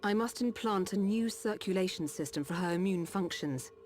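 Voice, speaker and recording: An actress playing a calm, dutiful village doctor of a machine race in a video game cutscene, over music. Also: female voice, medium pitch, moderate pace, natural voice. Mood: steady, reassuring.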